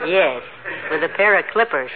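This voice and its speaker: An actress playing a dry, teasing wife in a 1940s radio comedy. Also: female voice, low voice, animated, moderate pace. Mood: wry, teasing. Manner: wry, teasing. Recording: tinny, very noisy 1940s radio recording.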